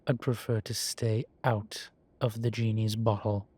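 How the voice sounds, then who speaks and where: A man voicing an urbane demon professor in an audio roleplay, erudite and wryly amused, speaking in a measured, formal way with deliberate pauses. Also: fairly low pitch, level tone, darkish tone, husky, no echo. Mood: dry, lecturing. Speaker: professor lecturing a class. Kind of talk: scripted audio roleplay, lecture and conversation.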